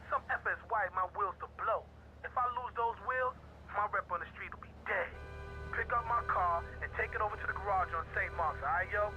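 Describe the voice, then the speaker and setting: A man's voice: high-pitched, fairly quick, sharp tone, dull audio, slight background noise. An actor playing a brash, streetwise American gang boss in a video game, slangy and urgent as he gives orders. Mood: urgent, cocky.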